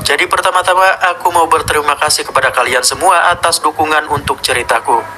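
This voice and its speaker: A man's voice: a little high, bright, forceful tone, voice pushed down. A man voicing a skeptical, curious young channel owner, telling a horror story in the first person in a matter-of-fact, confiding way. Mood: grateful, earnest.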